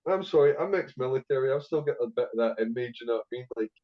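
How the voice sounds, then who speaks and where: A man's voice: medium pitch, lively intonation, rapid-fire, lo-fi sound. A man with a Scottish accent telling stories as a podcast guest, swearing freely and rambling with plenty of fillers. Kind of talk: casual storytelling interview.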